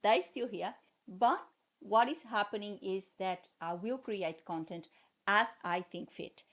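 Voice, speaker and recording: A woman with a Portuguese accent, a native Portuguese speaker, talking to her viewers in a casual vlog, halting with many fillers but passionate. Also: mid-range voice. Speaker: translator and vlogger.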